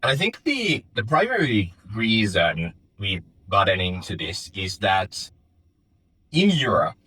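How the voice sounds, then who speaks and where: A young man from Finland speaks in a low, husky voice, giving interview answers about his startup. He talks thoughtfully, pausing with fillers and restarting his sentences. The sound is dry and close, with little room echo. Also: big pitch swings, bright, forceful tone. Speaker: startup founder. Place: office in Finland.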